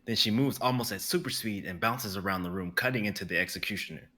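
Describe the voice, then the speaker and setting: A young man narrating stage directions in a live script reading, brisk and vivid, with the odd stumble. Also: fairly low pitch, pushed and bright. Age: young adult.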